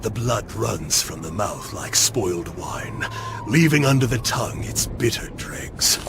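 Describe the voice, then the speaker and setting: An actor playing a deranged cursed warlord in a video game, ranting grandiosely as if many voices share his mouth, with a gravelly voice. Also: on the low side, animated, a little slow, background noise. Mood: raving defiance. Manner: grandiose, raving, theatrical. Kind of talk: dramatic video game cutscene acting. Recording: dramatic music behind the voice.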